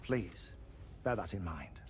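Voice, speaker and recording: A man's voice: deep voice, lively intonation, slow, darkish tone, a little hiss or hum. An actor playing a matter-of-fact crewman in a video game, with a formal, careful way of talking. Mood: businesslike. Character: matter-of-fact male crewman advising on fire support. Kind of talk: video game cutscene acting.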